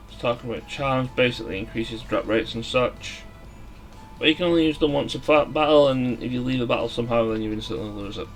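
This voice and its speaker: A man's voice: medium pitch, fast. Male let's player, casual gameplay commentary, mid-range voice, hesitant explanations, music in the background. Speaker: let's play host. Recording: music behind the voice. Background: game music.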